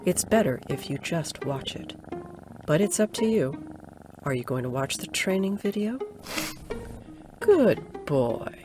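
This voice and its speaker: A woman playing a coolly menacing, condescending nurse in an audio drama, speaking with soft, patronising menace. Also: on the low side, big pitch swings, a little slow, pauses often, over music. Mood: coaxing menace. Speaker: voice actor.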